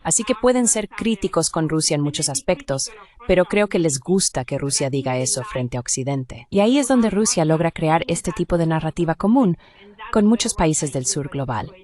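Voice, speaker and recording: A woman's voice: low voice, animated, moderate pace, warm tone. A woman speaking as an academic expert, giving explanatory answers in an interview.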